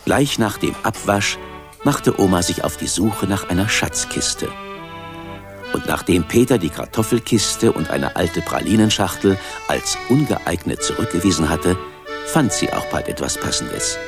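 A man's voice: low voice, animated, unhurried. An older man narrating a children's radio play in a wry storytelling manner. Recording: accordion music under narration.

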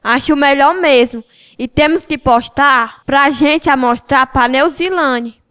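Radio soap role: female amateur as curious, gossipy schoolgirl, high bright voice, northeastern Brazilian accent. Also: slow.

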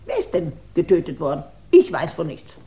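A woman's voice: sits low, wildly swooping pitch, brisk, warm tone. An actress playing an imperious, indignant older lady with a haughty, demanding manner in a 1960s radio drama. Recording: dull 1960s radio recording.

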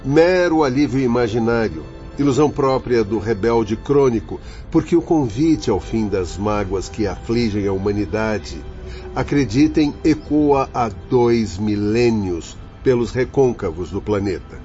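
A stern, learned male spirit instructor played by an actor in a radio play. His mid voice is dark and mellow, with formal declamation. The recording is dull, with faint music. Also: mid-range voice, expressive, unhurried, natural voice. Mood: stern, declaiming. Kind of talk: radio drama acting.

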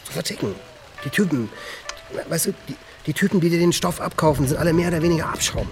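A man's voice: a little high, lively intonation, fast, soft background music. An actor playing a jittery, boastful young junkie thief in a crime radio drama, stammering and compulsively repeating his phrases. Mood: pleading, eager.

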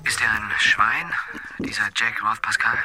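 A man's voice: fairly low pitch, a little slow, sharp tone, slightly rough, soft background music, exaggerated voice, poor audio. A man playing a discreet, formal hotel clerk in a radio drama, courteous and addressing people as sir. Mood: worried.

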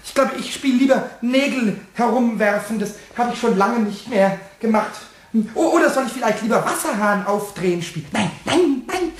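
A man voicing a small mischievous, childlike kobold with a high, squawky voice, chattering excitably in a children's radio play. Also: normal speed, breathy edge, full character voice. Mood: playful, mischievous. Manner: chattering to himself, giggly, singsong.

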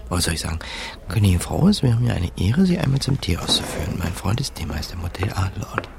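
A nervous crook's inner voice in a radio crime play, muttering to himself. It is deep and heavily creaky, with noticeable ambience behind. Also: male voice, big pitch swings, fast, background noise, full character voice. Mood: panicky. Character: nervous small-time crook's urgent inner voice.

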